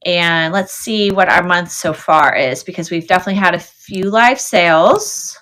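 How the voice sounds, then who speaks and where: A woman's voice: fairly low pitch, moderate pace, a bit bright. A woman hosting a casual live sale stream, chatting with viewers and thinking aloud as she goes. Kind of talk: casual livestream chat with viewers.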